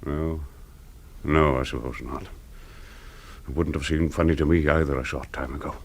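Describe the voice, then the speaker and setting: The older master of a secretive house in a radio play. His voice is very low and he speaks formally, with measured, cool condescension and a threat beneath the politeness. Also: fairly even intonation, husky, heavily acted. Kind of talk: radio drama acting.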